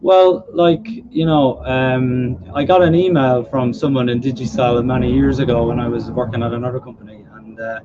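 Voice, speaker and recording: Relaxed Irish man in a radio studio. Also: medium pitch, moderate pace, hardly any pauses, lo-fi sound, noisy background. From Ireland. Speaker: business guest. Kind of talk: casual radio interview. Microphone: studio mic on boom arm.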